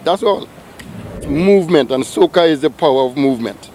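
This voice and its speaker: A man's voice: a little high, lively intonation, normal speed, dark tone, slight background noise. An older man speaking emphatically in an old outdoor interview clip.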